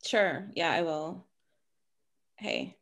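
A woman improvising a comedy scene over a video call, speaking in a halting, reflective way. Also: lowish voice, lively intonation, fairly bright tone. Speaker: improv performer. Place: small room at home.

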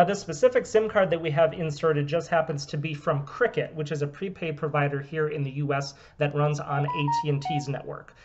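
A man in his thirties from the US, steadily explaining a phone unlock demo in a small room at home. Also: a little high. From United States. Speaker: phone repair business owner. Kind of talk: product demonstration. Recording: dull, lo-fi camera audio.

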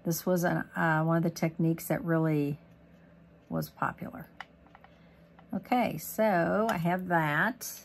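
A woman from Missouri demonstrating a card-making technique at her craft desk, chatty and rambling, with a hoarse voice as she loses it. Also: fairly low pitch, a little hiss or hum. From Missouri, USA. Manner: chatty, rambling, lots of ums and asides. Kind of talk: casual crafting tutorial.

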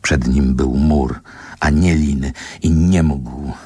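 An actor playing a nostalgic narrator in a radio play, measured, lyrical and reflective. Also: male voice, extremely low pitch, steady tone, dark tone, husky, no echo, full character voice. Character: male narrator, nostalgically recalling a Warsaw boyhood. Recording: music behind the narration.

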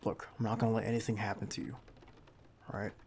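A man playing a protective, reassuring bodyguard in an intimate ASMR roleplay, speaking in a hushed, halting, gravelly voice close to the listener. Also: lowish voice, level tone, slight background noise. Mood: relieved, reassuring. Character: protective young male bodyguard, calm and reassuring.

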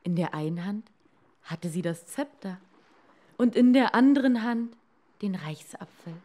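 A woman reading a bedtime fairy tale as a dramatic storyteller, sometimes hushed and deliberate. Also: medium pitch, lively intonation.

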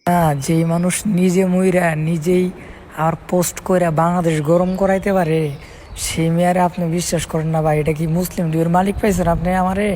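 Very fast-talking, insistent Bangladeshi speaker. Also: mid-range voice, little pitch movement, mellow, slight background noise. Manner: insistent, repeatedly checking the listener understands. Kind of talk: casual phone conversation.

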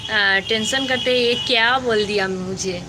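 A young woman with a Bengali accent, whose first language is Bengali, hosting a casual live stream and chatting with viewers in halting, broken Hindi as she searches for words. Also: medium pitch, noisy background.

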